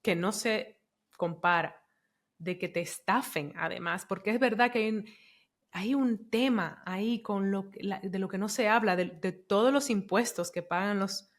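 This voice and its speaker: Reflective podcast host, raised in Dominican Republic. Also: female voice, medium pitch, moderate pace. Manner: reflective, with soft backchannels. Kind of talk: casual interview conversation.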